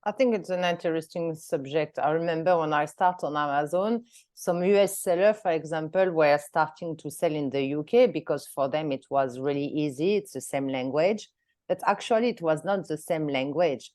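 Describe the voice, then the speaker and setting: A woman's voice: deep voice, normal speed, a little mellow, dry recording, clean studio sound. A woman hosting a webinar, asking her guest questions and giving examples over a video call. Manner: asks questions, gives examples. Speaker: webinar host. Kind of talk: interviewing a guest.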